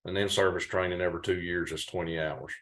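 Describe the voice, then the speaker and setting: A man's voice: deep voice, steady tone, fast talker, a bit bright. A man speaking on a webinar panel over a video call, explaining his work in a plain, matter-of-fact way. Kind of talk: casual explanatory talk.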